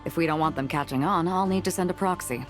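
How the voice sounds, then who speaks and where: A woman's voice: on the low side, fast talker, music in the background, natural voice. A woman playing a self-possessed, hard-bargaining swordswoman in a video game, cool and unruffled. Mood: cool, wary.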